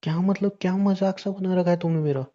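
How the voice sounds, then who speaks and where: A man's voice: high-pitched, fairly even intonation, a little fast, warm tone, lightly acted. A young man playing a hurt, angry boyfriend in an acted phone conversation, pleading and mixing English phrases into his speech. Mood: indignant, hurt. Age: young adult. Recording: dull, lo-fi phone call recording.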